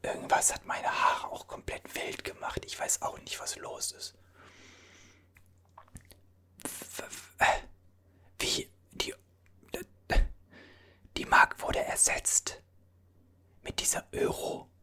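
Someone whispering as a bewildered time traveler. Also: pushed and bright. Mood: puzzled. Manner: puzzled, halting whisper with many restarts. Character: bewildered time traveler from the Deutsche Mark era. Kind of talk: whispered ASMR roleplay.

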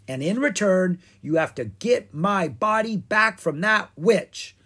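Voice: medium pitch, expressive, slow pace, a bit bright, slightly rough, voice pushed up, heavily acted. A storybook reader voicing a grumpy, self-important male pumpkin king in a huffy, boastful, theatrical manner. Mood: proud, bargaining. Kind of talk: animated children's storybook character reading.

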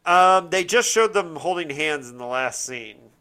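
A man's voice: on the high side, expressive, measured pace, bright, forceful tone, smooth. A man hosting a casual discussion, rambling and correcting himself as he searches for words. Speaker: podcast host. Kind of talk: casual chat, TV show discussion.

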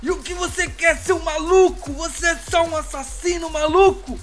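A man's voice: extremely high pitch, steady tone, moderate pace, a bit bright, soft background music. A man playing a terrified, desperate victim in an audio drama, pleading and bargaining frantically for his life with coarse language. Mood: frantic bargaining. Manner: pleading, frantic, foul-mouthed.

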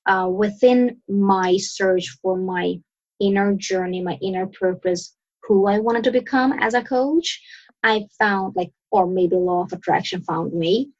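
A woman from Sweden, a coach and podcast guest, answering questions in a relaxed way, pausing and restarting as she searches for words. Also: medium pitch, lo-fi sound. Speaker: mindset and success coach, guest. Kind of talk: casual interview answers.

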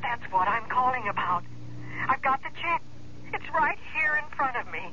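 High-voiced, anxious, meek radio-drama wife. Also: female voice, expressive, moderate pace, sharp tone. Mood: relieved, nervous. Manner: hesitant, submissive, stammering. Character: anxious, meek, obedient wife drawn into a swindle. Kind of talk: old-time radio drama acting. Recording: dull vintage radio sound, faint noise.

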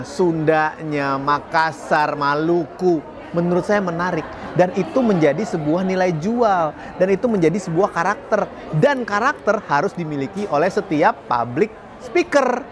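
A man's voice: on the high side, background noise. A man giving a chatty, upbeat talk to camera as a public speaking coach, laughing now and then at his own slips. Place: outdoors in a city.

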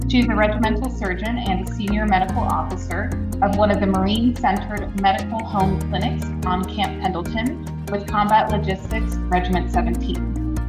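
A woman's voice: mid-range voice, reverberant. A woman hosting a podcast in a polite, formal way, reading prepared introductions and interview questions.

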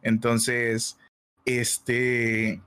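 A young man in his early twenties speaks with a Peruvian accent that has picked up some Mexican flavor. He talks in a relaxed, slangy way and laughs easily, like a guest on a casual stream chat. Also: medium pitch, drawn-out words, smooth. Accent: Peruvian Spanish with Mexican touches. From Peru. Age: 20s. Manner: laid-back, laughs often, uses slang. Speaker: guest, illustrator.

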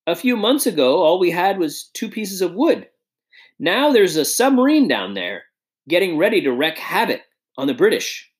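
A man plays a strong young man, confident and keen. He sounds eager and upbeat, and the pitch moves in lively swings. It is told like a storybook. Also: unhurried.

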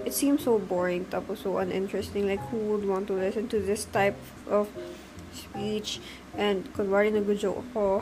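A woman's voice: a little high, slight background noise, rough recording. A young woman with a Filipino accent talking reflectively in a casual personal monologue, switching between Tagalog and English with frequent fillers.

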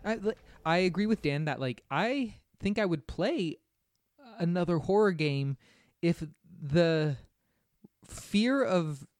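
A man co-hosting a podcast, chatting casually about video games in a halting way, rephrasing as he thinks. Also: high-pitched, animated, a little mellow, no echo, studio quality. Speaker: podcast co-host.

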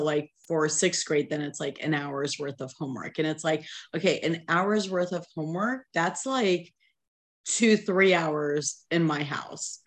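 A woman in her forties, English not her first language, co-hosting a podcast and venting candidly, swearing freely. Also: fairly low pitch. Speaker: podcast co-host. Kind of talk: casual chat.

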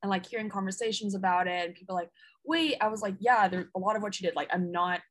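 A woman's voice: mid-range voice, fast talker. A woman interviewer talking casually and animatedly, often saying "like" as she works through her points. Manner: animated, full of "like" fillers.

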